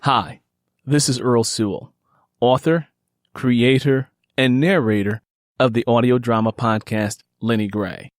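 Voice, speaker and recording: A man, an author and podcast narrator, speaking informally and earnestly to his listeners in a deliberate way. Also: medium pitch, fairly even intonation, no room sound, clean studio sound.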